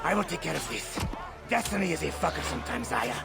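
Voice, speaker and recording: An actor playing a boisterous, reckless old sea captain who bellows orders with gravelly defiance. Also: male voice, fairly high pitch, lively intonation, fast talker, over loud music. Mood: defiant. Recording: game cutscene with loud score.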